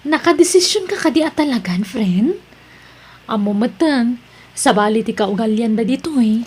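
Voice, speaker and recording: A woman's voice: on the high side, lively intonation, moderate pace, darkish tone, breathy edge. A woman playing a chatty, devout and caring friend in an Ilocano radio drama. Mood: caring, curious.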